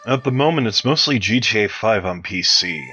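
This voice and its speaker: A man's voice: lowish voice, lively intonation, normal speed, sharp tone, a little hiss or hum. A man giving prepared answers as a podcast interview guest, sounding like he reads them out. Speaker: interview guest, game mod developer.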